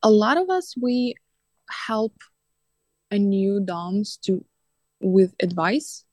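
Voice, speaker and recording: A woman's voice: mid-range voice, lively intonation, slow, darkish tone, dry recording. Woman, dry sound. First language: Russian. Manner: earnest, slightly non-native phrasing with fillers. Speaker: guest, coach and teacher. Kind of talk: casual podcast interview.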